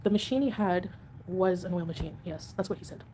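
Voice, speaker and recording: A woman's voice: lowish voice, fairly quick, dark tone, a little hiss or hum. A woman hosting a casual livestream at home, chatting with viewers and answering comments in a rambling, defensive way. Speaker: livestream host.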